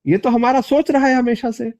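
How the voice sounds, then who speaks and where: Male political interviewee on a phone call, high voice, insistent and repetitive for stress. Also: fairly even intonation, a little fast, a little mellow. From Bihar, India (Magadh region). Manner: emphatic, repeats phrases for stress. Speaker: party politician being interviewed.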